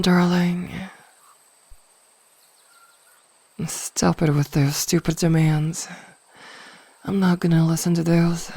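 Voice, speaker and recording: A woman playing a possessive, teasing mage in an ASMR fantasy roleplay, speaking softly and coaxingly with condescending endearments. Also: on the low side, breathy edge, dry recording. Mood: amused, condescending. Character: possessive, teasing female mage hypnotizing a burglar.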